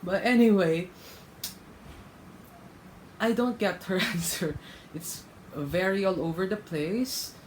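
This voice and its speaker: A man's voice: high voice, takes it slowly, pauses often, a little hiss or hum, rough recording. A man commenting casually as a reaction vlogger, frank and laughing between remarks. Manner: chatty, laughs mid-sentence, frank critique. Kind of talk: casual commentary, rating contestants.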